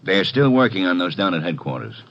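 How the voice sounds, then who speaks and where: An actor playing a gruff, skeptical male police inspector in a 1950s radio drama, blunt and matter-of-fact. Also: sits low, big pitch swings, fairly quick, full character voice. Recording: muffled 1950s radio broadcast.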